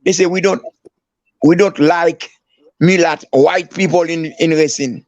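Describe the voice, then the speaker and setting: Older man from Haiti, halting storyteller. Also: fairly high pitch, steady tone, a little mellow, dry recording. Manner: halting, many restarts and fillers. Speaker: guest, former footballer. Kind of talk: storytelling interview.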